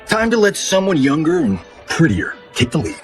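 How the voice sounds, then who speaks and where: An actor playing a cocky, good-natured movie star in a video game, with a showy, casual, slangy delivery. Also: male voice, a little high, very animated, normal speed, faint music behind. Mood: upbeat, joking. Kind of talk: video game cutscene acting.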